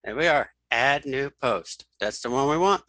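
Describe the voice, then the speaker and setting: Male tutorial host, middle-aged, crisp dry mic, bright delivery, informal step-by-step narration that thinks out loud. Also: medium pitch, lively intonation, moderate pace, no echo. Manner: informal, thinking aloud, repeats key steps. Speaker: tutorial host and web developer. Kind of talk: screen reader software tutorial, talking through steps.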